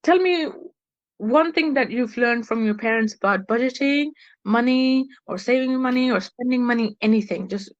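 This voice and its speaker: A woman's voice: a little high, normal speed, highs cut off. A young woman hosting a podcast, chatting casually and often restarting her sentences. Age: young adult. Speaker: podcast host. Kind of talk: casual chat.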